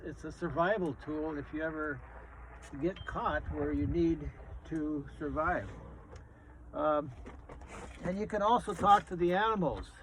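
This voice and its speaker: A man in his seventies talking casually to children as a grandfatherly guest, explaining things simply and plainly with halting pauses. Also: a little high, a bit bright, slight background noise, low-quality recording. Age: 70s. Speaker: grandfather, guest reader and outdoorsman. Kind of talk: casual show-and-tell for children.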